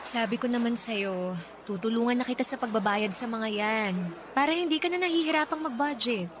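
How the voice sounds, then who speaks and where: A woman playing a soft-spoken young woman. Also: fairly high pitch, a little fast, lightly acted. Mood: gentle. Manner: soft, apologetic, gentle. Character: young woman torn between two men. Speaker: lead character in radio drama. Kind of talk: radio drama acting. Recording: audible background hiss.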